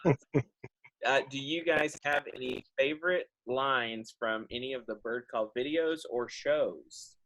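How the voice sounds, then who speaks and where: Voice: mid-range voice, measured pace, fairly bright tone, rough recording. A host chatting casually on a group video call, reading out questions and laughing often. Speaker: host of a cast question session.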